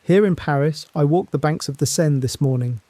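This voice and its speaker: Guy playing a hushed foreign reporter, mellow tone, dry close sound. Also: a little high, a little fast, dry recording, lightly acted. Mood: somber. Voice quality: hushed, somber delivery. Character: somber male foreign correspondent abroad. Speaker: foreign correspondent. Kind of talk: scripted dramatic news report.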